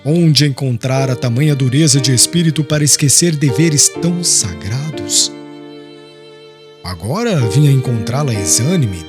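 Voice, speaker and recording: A man narrating an audiobook dramatically, with solemn, literary, deliberate phrasing. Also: on the high side. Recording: prominent music under voice.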